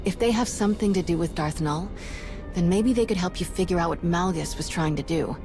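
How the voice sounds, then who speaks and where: A woman's voice: on the low side, brisk, natural voice. A woman playing a plain-spoken young Jedi companion in a video game, talking informally and candidly. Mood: resolved. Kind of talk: video game dialogue acting. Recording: music behind the voice.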